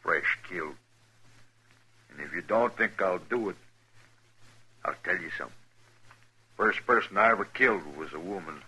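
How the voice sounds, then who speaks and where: A man's voice: deep voice, normal speed, long pauses, sharp tone, husky, heavily acted, poor audio. An actor playing a cold-blooded, taunting bank robber in a 1950s western radio drama, drawling his threats. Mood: cold menace.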